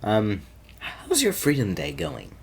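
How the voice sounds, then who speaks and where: A man playing an easygoing, teasing young guy sweet on his friend, talking casually and haltingly in an audio roleplay. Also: lowish voice, huge pitch swings, vocal fry, a little hiss or hum, exaggerated voice. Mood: teasing, nervous. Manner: halting and stammering, chuckling, casual slangy speech.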